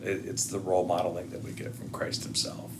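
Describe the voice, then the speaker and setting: A man's voice: low voice, completely flat delivery, brisk. An American man, a podcast guest, talking in a reflective discussion style. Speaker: podcast guest, head of school. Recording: clearly noisy background.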